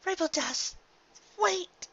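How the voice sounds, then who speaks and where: A man voicing a refined, weary and frightened female pony in a thin falsetto for a fan fiction story reading, pleading in weak mumbles or desperate cries. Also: animated, takes it slowly, bright, forceful tone, dull audio, heavily acted. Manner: mumbling weakly or crying out desperately.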